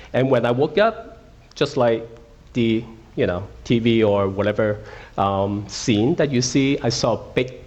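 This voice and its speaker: A man's voice: mid-range voice, a little mellow, dull audio. Brief: middle-aged man from Hong Kong, guest speaker at a school, interactive, keeps asking the crowd questions, mid-range voice. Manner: interactive, asks audience questions, frequent okays. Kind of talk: school assembly talk. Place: school auditorium.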